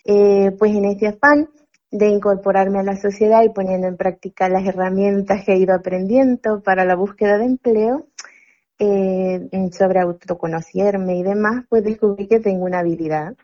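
Salvadoran woman, 30s, phone interviewee, mellow, hesitant, muffled line. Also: medium pitch, steady tone. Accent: Salvadoran Spanish. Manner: measured, slightly hesitant, with "mm" fillers.

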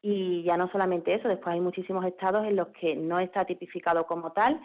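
A woman lawyer is interviewed on the radio with a rather low voice. Her sound is dull and muffled, and she explains things at length, often restarting her phrases. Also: fairly even intonation, fast talker.

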